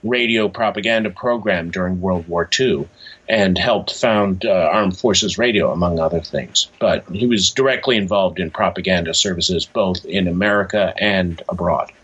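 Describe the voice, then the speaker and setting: Slightly muffled lo-fi recording, man, husky voice, hesitant, restarting phrases, reflective interview guest. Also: deep voice, moderate pace, a bit bright.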